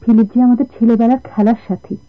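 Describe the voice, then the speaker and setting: A high female voice from an actress portrays a tender, anxious young woman in love. She speaks with the open emotion of radio drama. The recording is slightly dull and lo-fi, like an old broadcast. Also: steady tone, fast, close to an everyday voice. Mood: reassuring. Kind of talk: Bengali radio drama acting.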